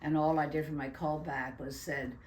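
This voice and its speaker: An older actress from New York tells animated stories about her roles in a casual interview, with laughing asides. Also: low-pitched, moderate pace, slight background noise. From USA (New York). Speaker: guest actress. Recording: roomy echo.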